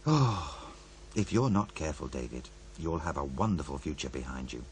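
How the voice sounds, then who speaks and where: A man's voice: a bass voice, lively intonation, moderate pace, a little mellow, slight background noise. An actor playing a wary, world-weary male producer in a radio play, blunt, sardonic and conversational. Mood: warning. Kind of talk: naturalistic radio play dialogue.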